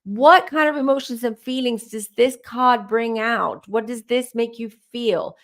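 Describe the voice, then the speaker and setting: A woman hosting a live-stream tarot Q&A, her voice starting to go hoarse, who rambles as she gives advice straight to her viewers. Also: fairly high pitch, measured pace. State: voice going hoarse. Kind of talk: live-stream spiritual Q&A, answering chat questions.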